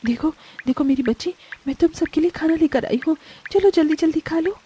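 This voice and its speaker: A woman playing a poor, desperate, loving mother in a melodramatic animated story, pleading and plaintive. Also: high-pitched, a little fast, a little hiss or hum, close to an everyday voice. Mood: urgent, tender.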